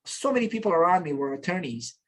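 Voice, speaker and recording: A man in his fifties from Bosnia, telling his story as an interview guest in a relaxed, reflective way with frequent restarts. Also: high voice, fast. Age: 50s. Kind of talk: casual conversational storytelling.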